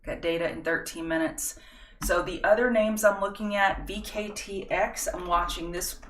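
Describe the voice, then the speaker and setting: A woman's voice: medium pitch, steady tone, normal speed, far from the mic, a little hiss or hum. A middle-aged woman hosts a live stock market stream, chatting with viewers in a folksy way. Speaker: stock market livestream host. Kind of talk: casual market analysis livestream. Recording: roomy echo, set back from the mic.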